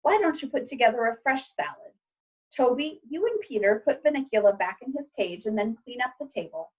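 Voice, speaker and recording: A woman's voice: on the high side, animated, a little fast, highs cut off. A woman voicing a practical, matter-of-fact mother who takes charge in a storybook.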